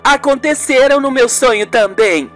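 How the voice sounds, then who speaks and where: Emotional young niece, done by a woman, raised high pitch, dramatic pleading, radio soap drama. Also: moderate pace, faint music behind, lightly acted. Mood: wistful, earnest. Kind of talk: amateur radio soap acting.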